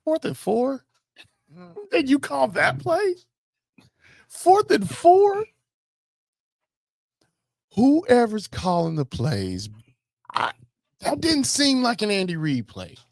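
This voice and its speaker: A man's voice: extremely high pitch, wildly swooping pitch, takes it slowly, gravelly, long pauses, a little mellow, no echo, studio quality. An American man co-hosting a sports podcast, talking casually and emphatically about football with strong opinions, repeating phrases to drive them home.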